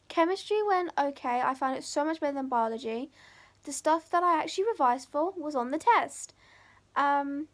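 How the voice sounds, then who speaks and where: A teenage girl chatting casually to camera for her vlog, voice croaky from a sore throat, full of "like" and "um". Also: high-pitched. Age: teens. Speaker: vlogger.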